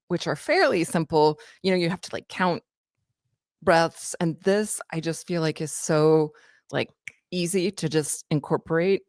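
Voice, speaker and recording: A woman's voice: low voice, darkish tone, no echo, clean studio sound. A woman co-hosting a health chat show and citing research with enthusiasm, using plenty of casual fillers. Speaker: co-host and author.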